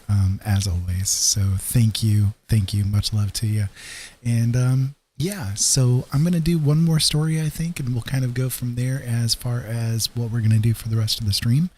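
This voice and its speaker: A man's voice: mid-range voice, darkish tone, slight background noise. A man hosting a casual livestream, rambling and hesitant as he chats to viewers. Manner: rambling, hesitant, chuckling asides. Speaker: livestream host.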